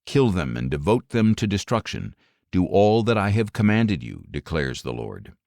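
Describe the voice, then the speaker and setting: A man narrating scripture as an audiobook in a solemn, dramatic style, measured and emphatic, with phrases that fall away at the end. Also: sits low, big pitch swings, gravelly, a little mellow, dry recording, studio quality.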